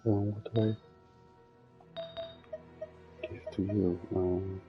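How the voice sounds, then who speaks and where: A man's voice: on the low side, flat delivery, normal speed, dull audio, music in the background. A man streaming a video game, chatting casually and thinking aloud as he plays. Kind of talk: casual chat while gaming.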